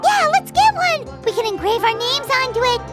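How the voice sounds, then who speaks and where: Game VO: an excitable floating fairy companion, very high and chirpy, lively swoops in the voice. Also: female voice, normal speed. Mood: excited. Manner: chirpy, exclamatory, talks of herself by name. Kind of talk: game cutscene dialogue. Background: game music.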